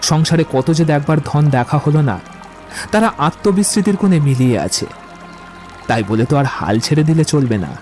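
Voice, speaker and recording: An actor playing a witty, poetry-loving young romantic suitor in an audio drama, with eloquent, theatrical delivery. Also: male voice, a little high, expressive, normal speed, a little mellow, soft background music, natural voice. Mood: ardent, declamatory. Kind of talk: Bengali audio drama acting.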